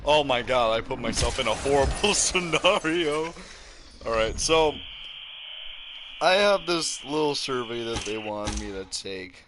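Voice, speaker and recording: Slow, rambling teen boy over steady noise. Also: medium pitch. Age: teens. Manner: rambling, joking, self-aware asides; loud sudden reactions to the game. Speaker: gaming channel host. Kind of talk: rambling commentary over gameplay. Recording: clear background noise.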